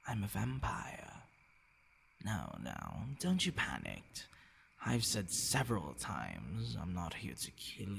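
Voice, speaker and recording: A man playing a possessive, menacing vampire in an intimate ASMR roleplay, murmuring and whispering in a gravelly, commanding, condescending way. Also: fairly bright tone, slight background noise, exaggerated voice. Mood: hungry, restrained. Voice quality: gravelly, breathy low murmur dropping into whispers. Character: possessive, menacing male vampire toying with his captive.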